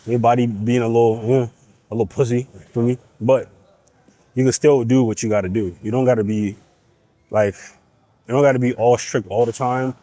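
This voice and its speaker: Young guy in the gym, nasally from being sick, blunt pep talk, mellow low tone, dry. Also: fairly even intonation, brisk, no room sound. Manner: blunt, profane, pep-talking. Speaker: fitness vlogger. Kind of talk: casual gym vlog talk.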